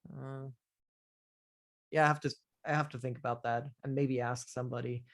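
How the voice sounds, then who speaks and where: A man hosting a casual technical question-and-answer call, halting, with many fillers and restarts. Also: mid-range voice, level tone. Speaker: host of community office hours.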